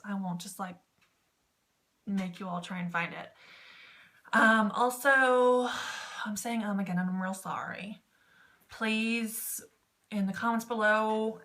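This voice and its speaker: Woman, medium-pitched voice, casual vlog advice, informal, wandering delivery, self-conscious. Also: a bit bright. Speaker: YouTube vlogger. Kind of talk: casual advice vlog on online text roleplay.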